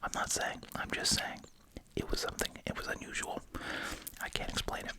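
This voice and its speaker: An ASMR host speaking entirely in a soft, breathy whisper, musing casually while chewing gum. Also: brisk.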